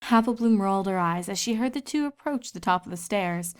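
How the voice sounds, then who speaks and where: A woman's voice: mid-range voice, animated, brisk, smooth. A woman reading scripted story narration as a fan fiction reader.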